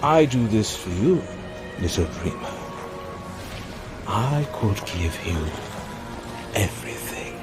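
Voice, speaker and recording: An ancient demonic villain for an audio drama. He drawls at a slow, savoring pace with widely swinging pitch, silky, condescending and possessive. Music plays clearly behind him. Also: expressive. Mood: silky coaxing. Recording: music bed under the voice.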